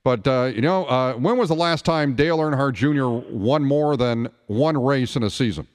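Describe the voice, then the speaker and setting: A man's voice: mid-range voice, normal speed. A man hosting a radio talk show, bantering casually about sports in a conversational, teasing way. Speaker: talk radio host filling in.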